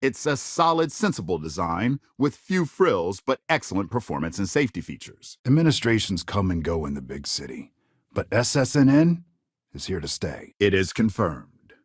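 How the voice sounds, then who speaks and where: An earnest male anchor for a sci-fi game's news network. His pitch moves in lively sweeps as he stresses key words with polished broadcast drama. The sound is dry and close, with no room to it. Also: normal speed, slightly rough, no echo. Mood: upbeat, assured. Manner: emphatic, dramatic newscaster phrasing. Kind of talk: scripted broadcast news delivery in a video game.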